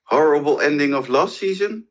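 A man's voice: on the high side, expressive, normal speed. A man co-hosting a casual interview, asking questions in a jokey way. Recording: slightly dull, lo-fi audio.

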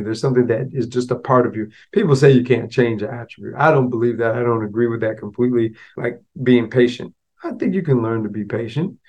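A man's voice: medium pitch, darkish tone. A man hosting a podcast solo, talking casually with a slight stutter and restarts, mixing street slang with business talk. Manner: conversational, street slang mixed with business terms. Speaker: podcast host.

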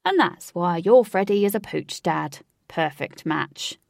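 A woman's voice: on the low side, lively intonation, measured pace, creaky, a little mellow, no room sound, clean studio sound. A woman voicing a joking older father who teases the people around him. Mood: teasing. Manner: teasing.

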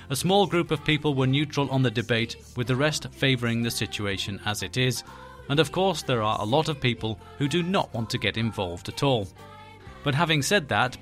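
Measured male reporter over faint music. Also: medium pitch, fairly bright tone. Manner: measured, wry. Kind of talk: scripted narration.

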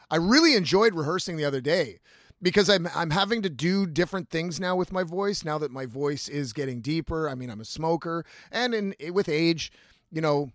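A man's voice: fairly high pitch, fairly bright tone, dry recording, studio quality. A man in his thirties hosting a casual, confessional solo podcast, rambling candidly and swearing freely. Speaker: podcast host, singer-songwriter.

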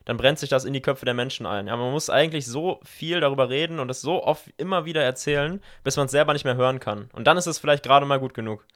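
A man's voice: mid-range voice, fast, few pauses, fairly bright tone. A man, hosting a podcast interview in a casual, conversational style.

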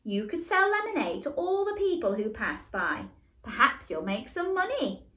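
A woman reading a picture book gives voice to a cheerful mother sorting out her bickering boys. She sounds bright and brisk, coaxing and motherly, with a lively up-and-down melody. The room adds an echoey ring. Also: high-pitched, pitched above the performer's own voice, exaggerated voice.

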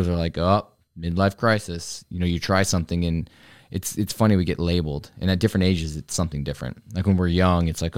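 A man's voice: low voice, darkish tone, dry recording, clean studio sound. A man in his forties talking on his own about turning forty, earnest and rambling, often restarting his sentences. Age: 40s. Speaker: vlogger, fitness coach. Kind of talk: reflective solo talk to camera.

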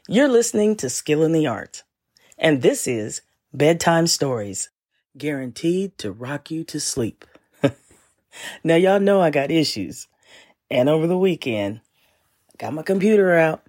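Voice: medium pitch, a little mellow. Laid-back, wry podcast storyteller. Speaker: podcast host. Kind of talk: casual storytelling monologue.

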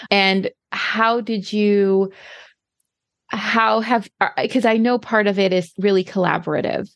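A woman's voice: mid-range voice, dry recording. A woman hosting an interview, asking long, curious questions full of "like" and restarts. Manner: warmly inquisitive, rambling questions with many likes. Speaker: interviewer, podcast host.

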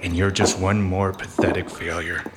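A man's voice: sits low, little pitch movement, normal speed, lightly acted. A man acting a put-upon detective's sidekick who turns coolly menacing, with a theatrical, sardonic delivery, in a hard-boiled comic audio drama. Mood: smug, menacing. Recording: clear background noise with clicks.

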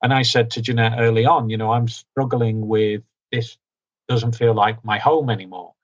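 A man from the UK talking candidly as a podcast guest, loud and direct, often mocking himself. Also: mid-range voice, fairly even intonation, normal speed, dull audio. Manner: loud, direct, self-deprecating. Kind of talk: candid conversational interview.

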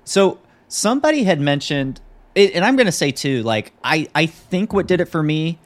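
A man's voice: fairly high pitch, expressive, normal speed. A man hosting a podcast in a casual, conversational chat style. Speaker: podcast host.

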